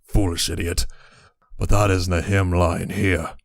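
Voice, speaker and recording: A man's voice: low-pitched, level tone, measured pace, gravelly, no room sound, clean studio sound. A man voicing a bitter, cynical pony raider in a fantasy audio drama, blunt and scornful. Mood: contemptuous. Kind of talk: dramatic character acting in an audio story.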